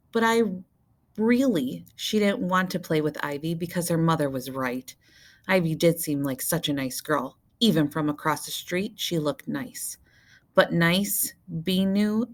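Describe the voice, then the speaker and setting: A woman with a low voice narrates a children's book. She reads like a teacher to young listeners, expressively, with deliberate emphasis on key words. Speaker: teacher reading aloud to children.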